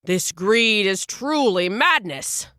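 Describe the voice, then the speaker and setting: A woman voicing a stern, angry male king of the gods who rumbles and scowls. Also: animated, takes it slowly, pushed and bright, no echo, clean studio sound. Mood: scornful.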